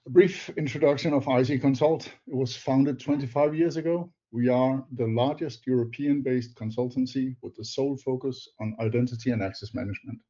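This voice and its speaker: An older man with a Danish accent, a non-native English speaker, presents a webinar in a measured, slightly halting way. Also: medium pitch, fairly even intonation, mellow. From Denmark. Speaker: presenter, technology advisor. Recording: dull lo-fi webinar audio.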